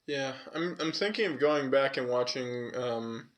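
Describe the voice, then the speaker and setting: A man's voice: mid-range voice, measured pace. A man from California with a slight Southwestern tinge, co-hosting a podcast in rambling casual chat with lots of fillers and swearing. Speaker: podcast co-host.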